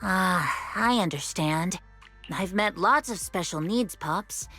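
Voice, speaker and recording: A woman's voice: fairly low pitch, takes it slowly, pushed and bright, faint music behind, full character voice. A woman playing an earnest, slightly flustered young pet shop clerk in an anime-style video game. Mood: earnest, eager.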